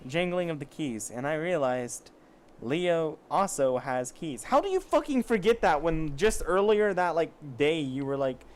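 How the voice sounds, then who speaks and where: A man's voice: on the high side, sing-song, unhurried. A man casually reading amateur fiction aloud, with sarcastic, foul-mouthed asides. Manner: sarcastic, profane commentary. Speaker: amateur story reader.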